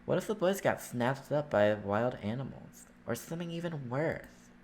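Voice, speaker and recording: A man's voice: medium pitch, lively intonation, moderate pace, a little mellow, slight background noise, voice pushed up, lightly acted. A man voicing an eager, naive young schoolboy while reading a visual novel game aloud. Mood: eager, hopeful. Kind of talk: game character read-aloud.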